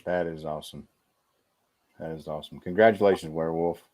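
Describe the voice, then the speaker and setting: A man's voice: very deep voice, steady tone, fairly quick, a little mellow. A man on a podcast panel making brief, laid-back remarks in casual chat.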